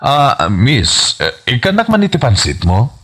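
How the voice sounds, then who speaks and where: Man acting a swooping, husky lovestruck suitor. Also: mid-range voice, huge pitch swings, unhurried, a bit bright, full character voice. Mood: wheedling. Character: excitable, wheedling suitor in a comedy romance. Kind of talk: Ilocano comedy radio drama acting.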